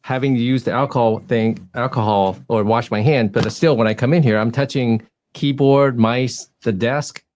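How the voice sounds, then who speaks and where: A man's voice: medium pitch, steady tone, moderate pace, hardly any pauses, darkish tone. A man in his fifties, from Taiwan, talking in a casual panel discussion as a clinician guest, thoughtful and hesitant, often correcting himself. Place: studio.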